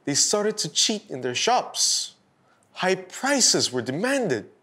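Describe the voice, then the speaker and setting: A man hosting and narrating a scripted bedtime story for children in a deliberate, expressive storytelling style. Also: sits high. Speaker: storyteller host.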